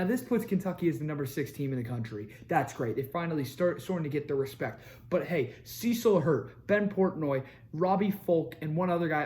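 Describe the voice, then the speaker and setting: Fired-up young man ranting about football. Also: fairly high pitch. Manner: animated, repeats lines for emphasis, ranting. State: slightly raspy from screaming. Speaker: sports show host. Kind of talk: fired-up sports commentary to camera.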